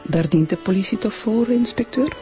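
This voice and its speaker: Guarded, prickly Flemish woman, medium pitch, acted. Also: moderate pace, dark tone, faint music behind, natural voice. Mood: prickly, pointed. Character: guarded, prickly girlfriend of a crime victim. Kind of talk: radio drama acting.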